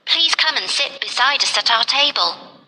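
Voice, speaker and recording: A woman's voice: sits high, animated, normal speed, sharp tone, long reverb tail, full character voice, low-quality recording. A woman playing a kind, earnest young bride in a children's fairy tale, with theatrical expression. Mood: warmly welcoming.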